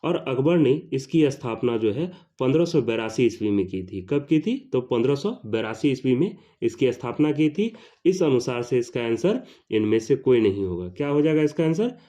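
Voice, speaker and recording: A man's voice: medium pitch, big pitch swings, moderate pace, warm tone. A man teaches like an exam tutor, in a didactic question-and-answer manner, repeating each question and its answer. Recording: dull lo-fi sound.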